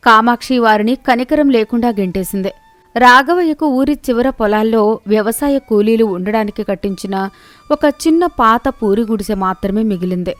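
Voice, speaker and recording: A woman's voice: on the high side, no room sound. A woman narrator reading a scripted moral story.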